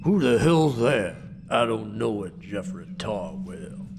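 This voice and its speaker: Man playing a slow, gruff outlaw boss. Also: fairly low pitch, sing-song, over music, full character voice. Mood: indignant. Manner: gruff, coarse, commanding. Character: older, foul-mouthed, threatening outlaw gang boss. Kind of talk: western audio drama acting. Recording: clear background ambience noise.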